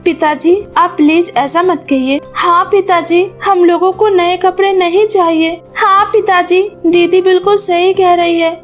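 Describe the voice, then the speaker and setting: A woman's voice: very high voice, steady tone, a little slow, hardly any pauses, faint music behind. A woman voicing a poor, proud and earnest schoolgirl in a cartoon story, with a pleading, wounded manner.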